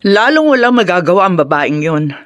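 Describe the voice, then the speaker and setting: A woman's voice: on the low side, sing-song, fairly quick, a bit bright, no echo, studio quality. An actress playing a headstrong, lovestruck widowed mother in middle age, in emphatic Filipino radio drama style with a Filipino accent. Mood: smitten, cooing. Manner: emphatic, melodramatic.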